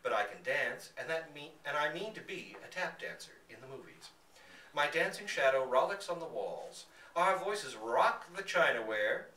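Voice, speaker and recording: A man giving an expressive, theatrical reading of a literary story aloud. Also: fairly high pitch, moderate pace. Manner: theatrical, dramatic emphasis. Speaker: reader at a live literary reading. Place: indoor public reading in a bookstore. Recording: roomy, distant mic, faint background noise.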